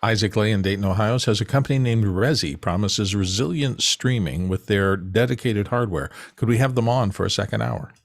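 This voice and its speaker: Man, moderately low pitch, panel question reader, conversational, online call. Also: fairly quick, gravelly, hardly any pauses. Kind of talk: reading out questions, conversational asides.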